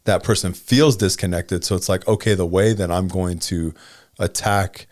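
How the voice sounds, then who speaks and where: A man's voice: low-pitched, fairly even intonation, moderate pace, a little mellow, no echo, studio quality. A man in his forties co-hosting a casual podcast, thinking aloud and often checking in with "right?" and "you know". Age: 40s. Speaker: podcast co-host.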